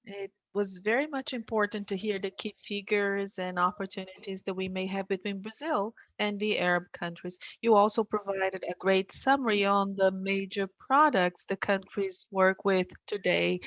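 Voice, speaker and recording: A woman moderating a webinar, speaking deliberately with hesitant fillers. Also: mid-range voice, no echo, rough recording. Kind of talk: formal moderation.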